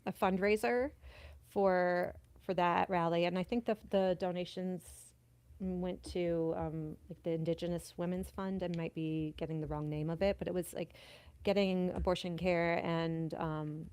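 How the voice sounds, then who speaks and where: A woman in her thirties or forties, a guest in a casual interview, telling stories in a lively way and laughing often. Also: lowish voice, unhurried, clear, resonant tone, no room sound. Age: 30s to 40s, mother of a nine-year-old. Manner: animated storyteller, laughs often. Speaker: podcast guest, performer.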